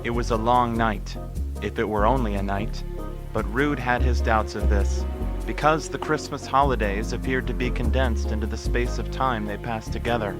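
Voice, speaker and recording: A man's voice: medium pitch, steady tone, moderate pace, natural voice. A man narrating a radio play as a scripted storyteller. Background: loud music.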